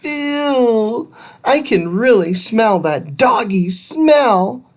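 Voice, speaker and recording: A spirited young girl in a children's adventure tale voiced by a woman reader. She sounds expressive and teasing, with a higher pitch that jumps around freely. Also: drawn-out words. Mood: disgusted.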